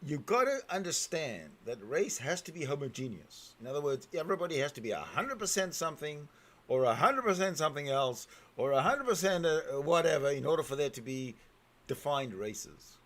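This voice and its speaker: A man hosting a talk show, commenting on a clip and jumping in with emphatic, sometimes halting remarks. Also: on the high side. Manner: animated, emphatic, swings loud and soft. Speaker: show host. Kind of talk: commenting over a played clip.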